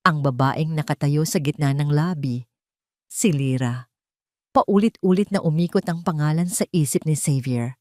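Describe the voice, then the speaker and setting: A woman's voice: low-pitched, a little mellow, dry recording, studio quality. A woman reading a scripted romance audio drama as a dramatic storyteller.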